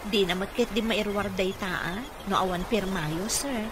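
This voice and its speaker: An actress playing a dutiful, encouraging caregiver in an Ilocano-accented radio drama. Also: female voice, mid-range voice, moderate pace, background noise, lightly acted. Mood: respectful, concerned. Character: dutiful, encouraging young woman caregiver to a wheelchair-bound boss. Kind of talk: Filipino radio comedy-drama acting.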